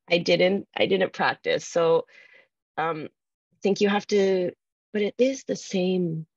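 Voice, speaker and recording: A woman with a low voice leads an informal online workshop. She talks in a halting, think-aloud way as she reads out responses. The sound is close and dry, slightly dulled by the video call. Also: no room sound, highs cut off. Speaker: presenter. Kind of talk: informal conference workshop talk, reading out poll responses. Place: video call from a room with bookshelves. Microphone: computer mic on video call.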